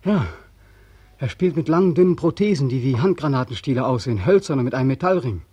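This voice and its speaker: An actor playing a weary, haunted ex-soldier in a 1940s radio drama, pleading and obsessively repeating himself. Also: male voice, medium pitch, expressive, fast talker, dark tone, husky, no echo. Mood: haunted, insistent. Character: weary, haunted ex-soldier back from war, insistent.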